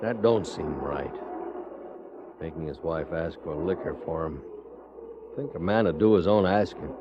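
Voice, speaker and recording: A man's voice: a bass voice, a little slow. An actor playing an opinionated, confident traveling salesman with an American accent, emphatic and blunt, in an old-time radio Western. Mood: disapproving. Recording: muffled, noisy old radio recording.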